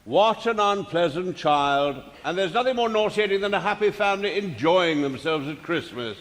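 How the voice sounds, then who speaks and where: Sardonic jolly ghost of christmas, high theatrical voice, big reverb. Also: male voice, expressive, normal speed, fairly bright tone, a little hiss or hum. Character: mocking, jovial male Christmas spirit in a robe. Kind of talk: radio drama acting.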